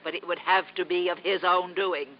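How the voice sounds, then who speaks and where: An actress playing a wealthy, willful old aunt, eccentric and secretly sentimental, in theatrical 1950s radio drama style. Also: female voice, lowish voice, steady tone, normal speed, natural voice. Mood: wistful. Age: older. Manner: theatrical, imperious. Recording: muffled, noisy vintage radio recording.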